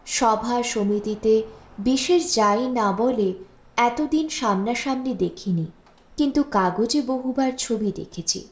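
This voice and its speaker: A woman reading a literary story as an audiobook narrator, in an expressive storytelling style. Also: a little high, takes it slowly. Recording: roomy, echoing voice recording.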